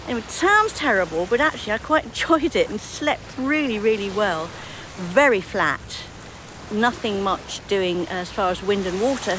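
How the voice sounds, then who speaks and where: A woman hosting a sailing vlog, chatting casually in an upbeat, appreciative way to the camera. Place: outdoors on a sailboat. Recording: noisy outdoor boat recording, engine rumble.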